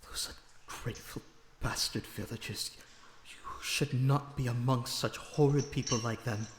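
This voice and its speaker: A man playing a lonesome, remorseful, gentle vampire prisoner in an audio roleplay, speaking in an earnest, halting, emotional way. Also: mid-range voice, steady tone, lightly acted. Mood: bitter, urgent. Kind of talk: dramatic audio roleplay acting. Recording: echoey reverberant dungeon-like sound, background noise.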